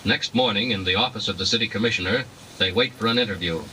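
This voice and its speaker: Grand old-time radio announcer, bright tone. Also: male voice, on the low side, quick pace, slight background noise. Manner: grand, declamatory. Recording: distant old radio recording.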